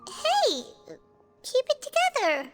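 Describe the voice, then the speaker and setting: A tiny cocky game creature, squeaking far up high. The delivery is slow and blunt but swoops wildly, over loud playful music. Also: wildly swooping pitch, exaggerated voice. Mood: scolding. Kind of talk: animated game character acting.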